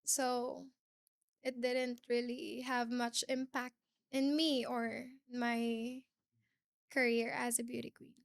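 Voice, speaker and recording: A young Filipino woman in her late teens speaks with a Filipino accent, her voice sitting high and her pace unhurried. She answers interview questions carefully, pausing on fillers. The sound is dry and close, with little room around it. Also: flat delivery, vocal fry, no echo. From Philippines. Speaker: guest, pageant winner.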